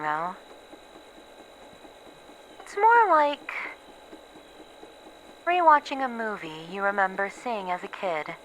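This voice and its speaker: Woman doing a worn out lady scientist in a horror audio log, slow n sardonic, crisp bright voice, bit of noise behind. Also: medium pitch, heavily acted, poor audio. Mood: wistful, resigned. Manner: halting, sighing, sardonic, sweary. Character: bitter, weary female researcher losing her memories, hiding alone. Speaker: voice actor in an audio roleplay. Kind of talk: ASMR horror roleplay monologue, acted audio log.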